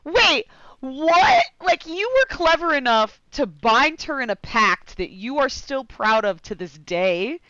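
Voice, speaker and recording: A woman gives casual, expressive commentary as she streams a game, slangy and full of swearing. She speaks slowly with a very varied pitch. The sound is close, dry and slightly dull. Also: no room sound. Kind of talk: casual gameplay reaction commentary.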